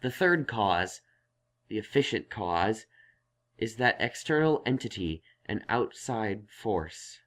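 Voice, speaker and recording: A man voicing a studious, earnest male pony scholar in a story, reciting in a measured way. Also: lowish voice, big pitch swings, fairly bright tone, full character voice. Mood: studious, absorbed. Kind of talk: dramatized fan fiction audiobook.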